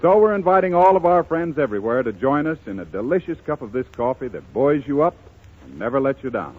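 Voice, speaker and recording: Animated American man announcing on tinny old radio. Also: medium pitch, fast talker. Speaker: announcer and narrator. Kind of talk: scripted 1930s radio narration and sponsor announcing. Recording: tinny muffled 1930s radio broadcast.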